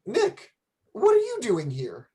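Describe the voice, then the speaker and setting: A man's voice: very high-pitched, wildly swooping pitch, slow, heavily acted. A man playing a hesitant, lovesick, gullible newlywed in a vintage-style radio play, with noisy audio. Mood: nervous, doting. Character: doting, gullible male newlywed groom.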